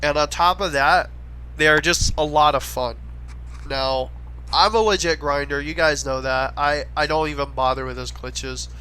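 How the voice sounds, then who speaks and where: A man with a fairly high, bright, forceful voice that sounds a little stuffy from a head cold. He talks casually and eagerly, like a gaming creator recommending his favorites. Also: fairly even intonation, normal speed, clean tone, poor audio. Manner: chatty, enthusiastic recommending. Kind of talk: casual gameplay commentary voice-over.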